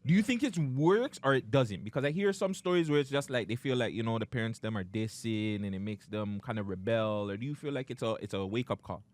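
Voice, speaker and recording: Lively slang-heavy male podcast host. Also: mid-range voice, brisk, hardly any pauses. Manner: animated, laughing, heavy street slang. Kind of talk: casual podcast interview.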